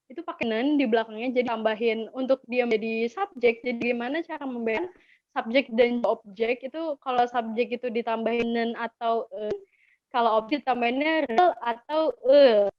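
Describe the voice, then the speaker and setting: High-voiced Indonesian woman teaching informally. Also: no room sound. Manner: chatty, encouraging, repeats key words for learners. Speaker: webinar speaker teaching languages. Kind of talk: informal language lesson. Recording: dull lo-fi webinar audio.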